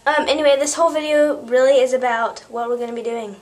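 A woman's voice: high voice, fairly even intonation, quick pace. A teenage girl chatting casually to camera as an amateur video host, with a giggly manner. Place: small indoor room at home. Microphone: camera's built-in mic at arm's length. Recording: noisy home camera recording.

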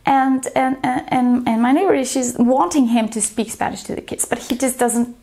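Woman in her forties, teacher talking to camera, slightly high voice, lapel mic in a living room. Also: fairly even intonation, normal speed, vocal fry, mellow. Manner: earnest, explains step by step, restarts when telling anecdotes. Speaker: teacher and channel host. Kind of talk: educational talk to camera. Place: bright living room, indoors, small.

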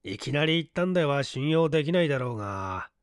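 A man's voice: a little high, expressive, fast, dry recording, studio quality. A man voicing an easygoing, whimsical male detective with a nonchalant, wry manner in an audiobook story. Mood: playfully evasive. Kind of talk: Japanese audiobook character acting.

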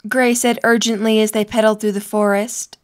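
A woman's voice: fairly high pitch, flat delivery, a little fast. A woman narrating a scripted story for an audio drama.

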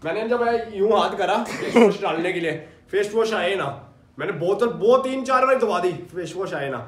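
A man's voice: high-pitched, lively intonation, normal speed. Chatty young man vlogging at home. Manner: chatty, narrating his day to the camera. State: sore throat. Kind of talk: casual vlog chat. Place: small room at home. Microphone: phone held at arm's length.

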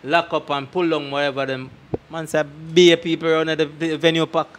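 A man's voice: on the high side, flat delivery, fairly quick. A man with a Jamaican accent telling anecdotes casually and emphatically into a handheld microphone as an interview guest, often checking that the listener follows. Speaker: interview guest, dancer recalling tours. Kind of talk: casual anecdotal storytelling in patois. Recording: clear background noise and ambience.

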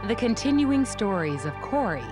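A woman's voice: on the high side, very animated, moderate pace, over loud music. A woman reading scripted narration for a children's storybook. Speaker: storybook reader.

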